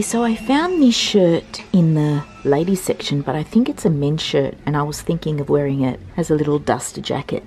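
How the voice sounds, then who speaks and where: A young woman, chatting casually as she tries on thrifted clothes in a small fitting room, now and then dropping to a whisper. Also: low voice. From Australia. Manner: chatty, often drops to a soft whisper. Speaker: thrift vlogger. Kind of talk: casual try-on chat. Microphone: handheld compact camera filming in a mirror.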